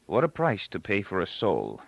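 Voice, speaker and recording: A grave, kindly father in middle age played by a male audiobook reader speaks softly and haltingly. His creaky voice rises and falls widely, captured in a dry, lo-fi recording. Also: low voice, huge pitch swings, no echo, heavily acted. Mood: grave, sad. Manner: soft, halting, solemn phrasing. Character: gentle, grave, thoughtful middle-aged father. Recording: dull, lo-fi, close dry recording.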